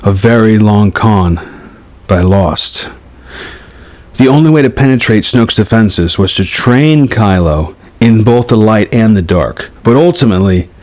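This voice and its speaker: A man voicing a weary, resolute Jedi master in a story reading, explaining things in a measured, cryptic way. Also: on the low side, darkish tone, no echo, lightly acted. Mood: grave, regretful. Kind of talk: acted dialogue within story narration. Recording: music behind the voice.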